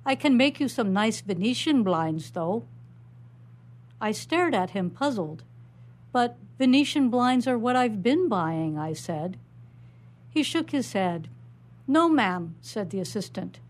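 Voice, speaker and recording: A woman's voice: on the high side, lively intonation, takes it slowly. A woman author reading her own first-person short story aloud.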